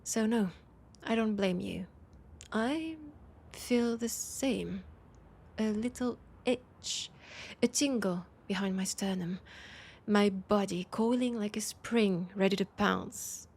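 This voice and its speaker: A woman with a French accent playing a poised, teasing vampire and wary old rival in an intimate audio roleplay, slipping into near-whispers. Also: a little mellow. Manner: slow, low-key teasing with breathy, near-whispered phrases.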